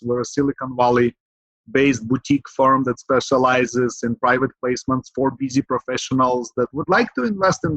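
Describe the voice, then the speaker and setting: A middle-aged man from Ukraine, speaking English as an in a steady, earnest manner. He works through his points at a measured pace, dropping fillers and asking "right?". The sound is dry and a bit dull, like a remote call. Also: mid-range voice, no echo. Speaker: guest, real estate investor. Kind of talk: podcast interview.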